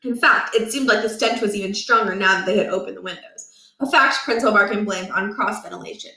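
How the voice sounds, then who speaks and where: Woman reading a children's book aloud, expressive, bright tone, echoey room. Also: mid-range voice, fairly quick. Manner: expressive, lively storytelling. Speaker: storybook reader.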